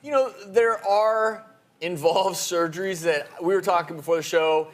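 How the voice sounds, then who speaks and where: A man's voice: sits high, normal speed. A man hosting a TV talk show from a studio, talking to a phone-in caller in a friendly way, with restarts.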